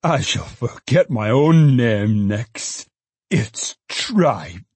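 A man's voice: medium pitch, lively intonation, very slow, a little mellow, slightly rough, no room sound, full character voice. A man voicing a poor, good-humoured old father in a storybook, musing and exclaiming in a folksy way. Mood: sudden realisation.

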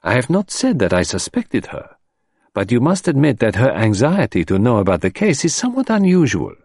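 A man voicing a courteous, shrewd detective in an audiobook, with a gentle, ironical, emphatic manner. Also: medium pitch, wildly swooping pitch, a little fast, slightly rough, no room sound, studio quality, voice pushed up, full character voice. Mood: emphatic, confiding. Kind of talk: audiobook character voice.

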